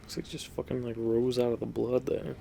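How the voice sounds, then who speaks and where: A man's voice: mid-range voice, fairly even intonation, fairly quick, gravelly, dark tone, slight background noise. A man giving casual, rambling, unscripted commentary while playing a video game. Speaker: gaming video host.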